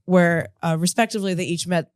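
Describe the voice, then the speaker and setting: A woman's voice: on the low side, fairly even intonation, fairly quick, a little mellow, clean tone, dry recording, clean studio sound. A woman in her thirties who grew up in Japan, a comedian guest telling stories in a rambling, self-interrupting way with frequent laughs. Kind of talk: casual podcast conversation. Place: in-studio podcast.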